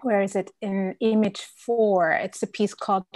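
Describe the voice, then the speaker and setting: A woman's voice: medium pitch, fairly even intonation, measured pace, darkish tone, no room sound, studio quality. A middle-aged woman who grew up in Canada, speaking as a curator on a video call in an articulate, reflective way. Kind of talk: panel discussion on video call. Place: room at home. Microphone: video call with earphones.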